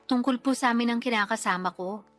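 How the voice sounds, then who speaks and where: A woman's voice: fairly high pitch, quick pace, faint music behind, lightly acted. A young woman playing a daughter and young mother in a radio drama, polite, earnest and pleading. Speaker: daughter character.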